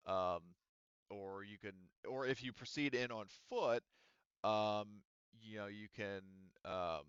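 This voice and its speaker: Rambling male game master, smooth dry voice. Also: fairly low pitch, fairly bright tone, no room sound, lo-fi sound. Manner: rambling, thinking aloud with many "um" and "like". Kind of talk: explaining rules and setting in a tabletop game.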